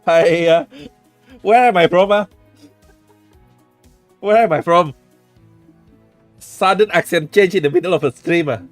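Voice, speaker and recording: A man's voice: sits high, unhurried, long pauses, no room sound, faint music behind. A man hosting a live stream, giving frank relationship advice in casual chat, swearing freely and restarting his sentences. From Indonesia. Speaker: streamer host.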